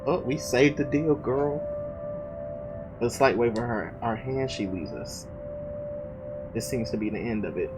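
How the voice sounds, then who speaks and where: A man's voice: medium pitch, a little slow, long pauses, poor audio. A man hosting a visual novel let's play, reading the narration aloud and speaking in an informal, casual way. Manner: informal, slangy asides between read passages. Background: loud game music.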